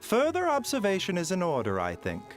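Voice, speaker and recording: A courteous, very expressive doctor. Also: male voice, high voice, soft background music. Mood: polite, attentive. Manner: polite, considerate, measured. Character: gentle, courteous doctor, soft-spoken gentleman. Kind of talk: game character acting.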